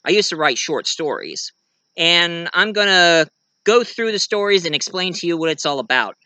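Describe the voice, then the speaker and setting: An American man giving a casual show-and-tell monologue, rambling and halting as he corrects himself along the way. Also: fairly high pitch, expressive, bright, forceful tone, clear, resonant tone, dry recording. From United States. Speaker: amateur reviewer and story writer presenting own work.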